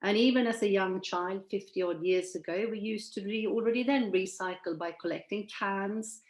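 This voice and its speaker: Woman, 60s, Swedish-born, measured presenter on a video call, roomy echo. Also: mid-range voice. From Sweden. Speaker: presenter at an online event. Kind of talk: presentation from shared slides.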